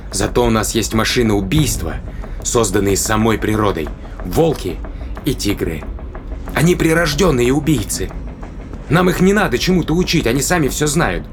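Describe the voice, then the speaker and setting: A man's voice: medium pitch, very animated, normal speed, background noise. An actor playing a determined, risk-taking man in an audio drama, arguing insistently and persuasively with rhetorical questions. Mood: insistent, fervent. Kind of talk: dramatic audio play acting.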